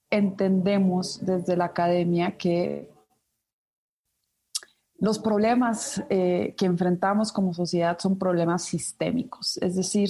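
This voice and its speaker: A woman's voice: mid-range voice, mellow. A woman from Ecuador, an academic researcher, answers interview questions thoughtfully, with frequent fillers and restarts. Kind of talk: interview conversation.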